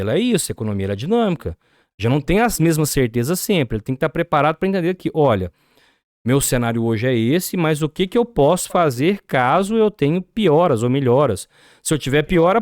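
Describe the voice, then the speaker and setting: Brazilian man with lively, animated pitch. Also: no room sound, studio quality. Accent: Brazilian Portuguese. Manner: explanatory, asks rhetorical questions. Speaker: podcast guest. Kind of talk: business podcast conversation.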